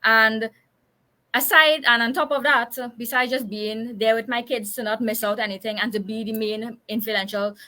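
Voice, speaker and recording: Woman in her 30s, Trinidadian accent, fairly high bright voice, chatty interview guest. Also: fairly even intonation, normal speed. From Trinidad and Tobago. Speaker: interview guest, business owner. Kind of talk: casual live chat.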